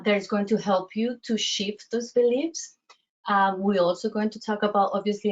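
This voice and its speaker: A woman's voice: medium pitch, highs cut off. A woman from Colombia with a Colombian Spanish accent, giving a coaching webinar presentation with many fillers and restarts. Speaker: self-worth coach.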